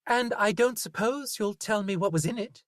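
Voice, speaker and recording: A man voicing a sharp-tongued, wry woman in a storybook reading. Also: high voice, moderate pace, no echo, clean studio sound, pitched above the performer's own voice, natural voice. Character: sharp, sly woman shopper.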